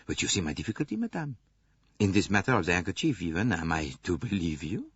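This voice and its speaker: A man playing a polite, self-assured detective in an audiobook, with a soft, courteous and insinuating manner. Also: deep voice, sing-song, quick pace, husky, dry recording, highs cut off, exaggerated voice. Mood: courteous, sceptical. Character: polite, probing male detective, quietly doubting and self-assured.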